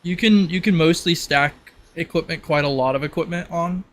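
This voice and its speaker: A man's voice: a little high, normal speed, fairly bright tone. A man acting as game master, casually explaining the rules of a tabletop roleplaying game.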